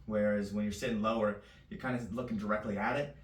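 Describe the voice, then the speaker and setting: A man chatting casually in a loose, rambling vlog, his voice a little raspy. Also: on the low side, steady tone, quick pace. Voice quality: slightly raspy. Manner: loose and rambling, full of fillers. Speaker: vlogger. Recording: echoey room, distant mic.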